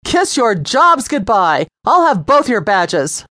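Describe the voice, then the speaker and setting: A reader voicing a furious, spoiled rich young woman arrested for speeding, shouting indignantly in a storybook reading. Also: mid-range voice, sing-song, a little fast, dry recording, clean studio sound, pitched above the performer's own voice, natural voice.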